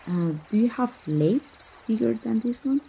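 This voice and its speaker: Casting: woman to play a young, self-reliant princess, Mexican accent, very relaxed dark tone, slow delivery, playful and tender, for romantic ASMR roleplay. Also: fairly high pitch, creaky. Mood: warm, shy. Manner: teasing, gentle, bashful hesitations. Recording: noisy outdoor nature ambience.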